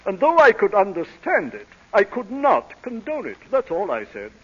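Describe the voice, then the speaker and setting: Doddering older gentleman, high swooping voice, stammering, self-righteous, muffled vintage radio drama. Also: huge pitch swings, normal speed, breathy edge, exaggerated voice. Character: pompous, flustered, doddering rich older suitor. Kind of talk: old-time radio comedy of manners acting.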